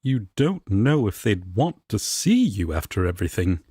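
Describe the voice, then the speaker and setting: A man playing a gentle, earnest angel roommate in an intimate roleplay audio, with soft, breathy, courteous and careful speech. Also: lowish voice, sing-song, moderate pace, no room sound, clean studio sound. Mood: concerned, tender. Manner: formal, courteous, tender, careful.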